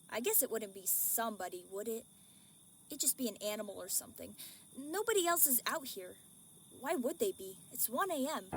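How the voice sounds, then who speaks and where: A woman playing a lonely, anxious young adult in a radio drama monologue, talking to herself in an introspective, self-doubting, halting way. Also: fairly high pitch. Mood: uneasy, melancholy. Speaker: actor. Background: music.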